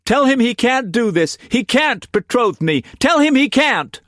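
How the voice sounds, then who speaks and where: A man voicing a distraught young woman of twenty who pleads in a high, shrill voice. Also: very animated, measured pace, fairly bright tone, no echo, clean studio sound, exaggerated voice. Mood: pleading, distraught.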